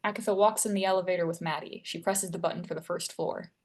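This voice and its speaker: A woman narrates a screenplay at a table read. Her voice is a bit low as she reads out stage directions and scene headings in a scripted style. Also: fairly even intonation, fast talker, darkish tone.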